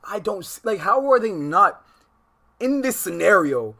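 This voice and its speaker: A man's voice: high voice, sing-song, a little slow, vocal fry, bright, forceful tone. A man live-streaming a casual reaction, full of slang, speaking emphatically and incredulously and often repeating himself. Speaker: livestream host reacting to a video.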